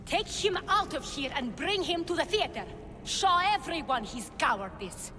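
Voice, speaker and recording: Proud, high-voiced Greek leader. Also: female voice, very animated, moderate pace, sharp tone, faint music behind, exaggerated voice. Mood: commanding, outraged. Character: proud, commanding female leader in ancient Greece. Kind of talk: video game cutscene acting.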